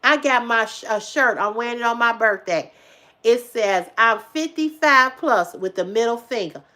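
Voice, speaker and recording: A woman in her fifties talks straight to her livestream viewers in a casual, emphatic way. Her voice sits high, and she repeats phrases for punch and swears without holding back. She swings from loud outbursts to quiet asides. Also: expressive, normal speed. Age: 50s. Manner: emphatic, repetitive, swears freely; swings between loud exclamations and low asides. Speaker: livestream host.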